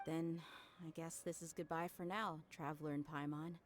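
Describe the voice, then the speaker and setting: A woman's voice: deep voice, normal speed, over loud music, natural voice. An actress playing a confident, friendly female desert mercenary in a video game, talking in a casual, easygoing way. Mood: warm, fond. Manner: casual, relaxed, teasing warmth. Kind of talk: video game cutscene voice acting.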